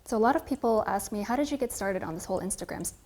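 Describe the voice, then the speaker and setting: A woman's voice: mid-range voice, animated, rapid-fire, noisy background. A woman in her twenties with an American accent, telling her own story casually to camera in a chatty, reflective way. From United States, California. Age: 20s. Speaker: coffee content creator and barista.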